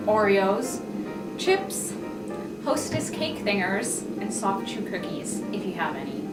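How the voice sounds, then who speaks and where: Slow, higher-voiced woman reading aloud. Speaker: staff member reading aloud. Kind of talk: reading a child's letter aloud. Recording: roomy distant mic under loud music. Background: loud music.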